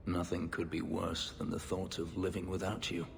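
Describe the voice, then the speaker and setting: Game role: bitter ex-slave elf warrior, very low solemn voice, reverberant hall sound. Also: male voice, completely flat delivery, creaky. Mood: remorseful, tender. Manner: brooding, solemn, measured. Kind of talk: dramatic video game acting. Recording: long hall reverb, background noise.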